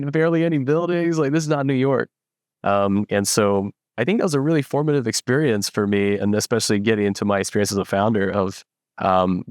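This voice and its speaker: A young man from the Philippines, a startup founder, telling his story in a relaxed interview with lots of fillers and restarts. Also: on the low side, dry recording, clean studio sound. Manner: reflective, many fillers and restarts. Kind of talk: casual interview.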